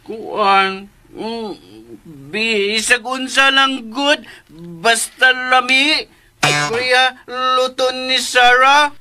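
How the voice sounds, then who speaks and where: A man playing a childlike, simple-minded young man in a Filipino radio drama, with halting babyish speech full of hums and a Filipino accent. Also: very high voice, fairly even intonation, pushed and bright, faint music behind, heavily acted. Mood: childish, eager.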